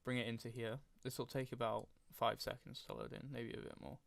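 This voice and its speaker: A man narrating a casual software tutorial, speaking informally and hesitantly as he thinks aloud. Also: mid-range voice, steady tone, a little fast. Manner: frequent throat clearing. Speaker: tutorial host.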